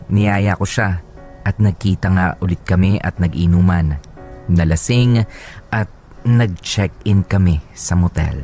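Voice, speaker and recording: A man's voice: sits low, a little mellow, close to an everyday voice. A man narrating in the first person as a confessional young man in a Tagalog radio drama. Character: confessional young man telling his love story. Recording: faint music behind the voice.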